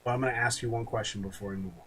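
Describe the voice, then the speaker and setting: A man's voice: mid-range voice, fairly even intonation, very fast, fairly bright tone, slightly rough. A man co-hosting a podcast in casual chat, blunt and slangy, swearing freely. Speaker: podcast co-host. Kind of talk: casual chat about video game movies.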